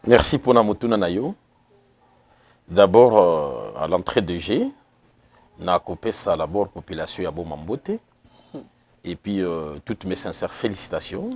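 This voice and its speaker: Formal Congolese man, lively voice. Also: sits low. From Kongo Central, DR Congo. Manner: formal, solemn, with filler pauses. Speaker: interview guest, association president. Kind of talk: formal interview answers.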